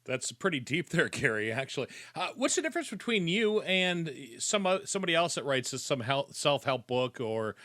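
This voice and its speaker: A man's voice: on the high side, big pitch swings, moderate pace, few pauses, bright, forceful tone, no room sound, studio quality. A man hosting a podcast, asking his guest loose, rambling questions. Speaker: podcast host. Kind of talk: casual interview questions.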